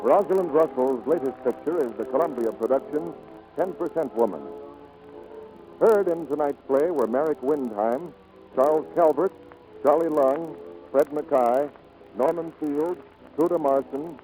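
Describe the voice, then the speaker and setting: A man's voice: a little high, measured pace, warm tone, poor audio. An American man announcing in a formal, scripted 1940s radio style on a vintage broadcast. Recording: vintage radio broadcast over faint music.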